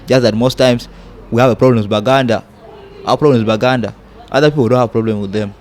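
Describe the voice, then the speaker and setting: A man's voice: medium pitch, fairly even intonation, fast talker, a little mellow. Blunt, emphatic young man from South Sudan, guest in a candid interview. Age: young adult. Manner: blunt, emphatic, mimics people in quotes.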